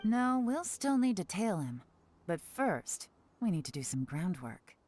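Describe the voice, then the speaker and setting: A woman voicing a shrewd, self-assured female intelligence agent in a video game, composed and deliberate. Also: on the low side, very animated, music in the background. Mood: composed, commanding.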